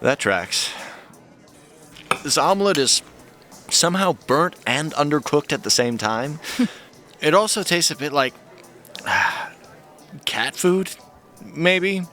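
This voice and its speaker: A man's voice: on the high side, lively intonation, slow pace, long pauses, bright, forceful tone, soft background music, exaggerated voice. An actor playing an anxious, wry young American guy in an audio drama, incredulous and easily exasperated. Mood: wry, subdued.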